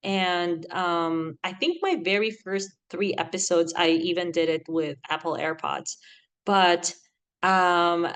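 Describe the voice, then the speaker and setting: A woman in her thirties from the Philippines, with a Filipino accent that leans American, giving animated, chatty podcast advice and laughing often. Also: fairly low pitch, slow pace, clear, resonant tone. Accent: Filipino, with an American lilt. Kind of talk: casual advice talk.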